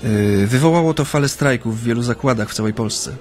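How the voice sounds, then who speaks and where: An actor playing an earnest Japanese man recalling his activist past in a radio play, with a reflective, halting delivery. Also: mid-range voice, darkish tone, close to an everyday voice. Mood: earnest recounting. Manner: hesitant, reflective, with frequent hums and pauses. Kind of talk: radio drama, conversational recollection.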